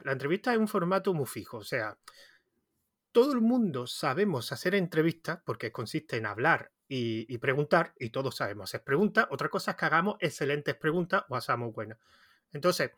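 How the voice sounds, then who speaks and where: A man's voice: on the high side, fairly bright tone, dry recording. Andalusian man, interviewing, dry sound. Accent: Andalusian Spanish. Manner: chatty, rambling, restarts often, laughs easily. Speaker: podcast interviewer. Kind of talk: casual conversational interview.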